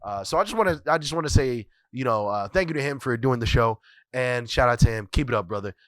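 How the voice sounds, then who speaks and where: A man with a stuffy, scratchy voice from a cold hosts his podcast. He rambles and repeats himself, swearing freely, at a middling pitch. The sound is close and dry. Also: expressive, fast, no room sound. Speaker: podcast host. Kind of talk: casual profane solo commentary.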